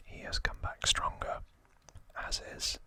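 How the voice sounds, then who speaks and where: A man's voice: a little slow, pushed and bright, no echo. A man whispering breathily in a casual ASMR recap, explaining informally and with some hesitation. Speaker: ASMR video host.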